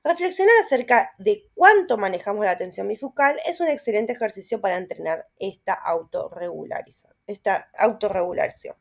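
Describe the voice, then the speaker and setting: A woman's voice: mid-range voice, expressive, fairly quick, vocal fry, hardly any pauses. Argentine woman reading a lesson aloud. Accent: Rioplatense Spanish. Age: young adult. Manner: explanatory, with tag questions and self-corrections. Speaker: student teacher. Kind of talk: reading lecture notes aloud with asides.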